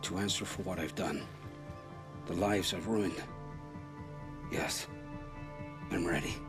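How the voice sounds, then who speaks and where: A man's voice: mid-range voice, expressive, normal speed, over music, natural voice. An actor playing a guilt-ridden family man coerced into informing, speaking in a grave, resigned, confessional way in a video game. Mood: remorseful, resigned.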